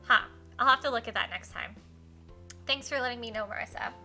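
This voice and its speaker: Bright, higher-pitched woman livestreamer over music. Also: a little fast. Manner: giggly, informal, animated asides to viewers. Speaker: livestreamer hosting a visual novel playthrough. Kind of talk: casual livestream chat mixed with expressive read-aloud.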